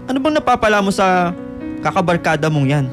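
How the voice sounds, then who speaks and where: A worried Filipino father in a radio drama. His voice sits high for a man as he admonishes and pleads like a concerned middle-aged parent. Faint music plays underneath. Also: very animated, fairly quick. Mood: scolding, pleading. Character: worried scolding father of a rebellious teenage son. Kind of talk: radio drama dialogue, Taglish.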